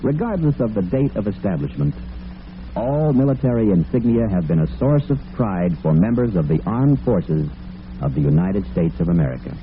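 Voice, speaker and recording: A man's voice: fairly low pitch, lively intonation, normal speed. A man working as a radio announcer, reading scripted narration formally in a mid-century broadcast style. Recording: tinny, noisy vintage radio broadcast.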